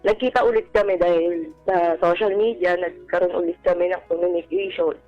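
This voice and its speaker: A young woman with a Filipino accent recounts a personal story as a radio caller. She is polite and deferential toward the hosts. Soft music sits faintly behind her. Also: on the low side, steady tone, brisk, phone-quality sound. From Philippines. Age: young adult.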